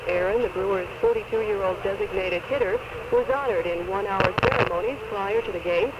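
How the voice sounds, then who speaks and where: A man's voice: high-pitched, a little fast, lo-fi sound. A man reading scripted sports news, captured on a 1970s AM radio recording. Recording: very noisy 1970s AM radio taping.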